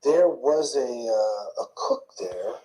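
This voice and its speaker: An older man slowly recalling his past in a soft, murmuring, rambling way, often trailing off. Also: medium pitch, very animated, gravelly, poor audio. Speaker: interviewee in played clip. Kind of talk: slow rambling recollection.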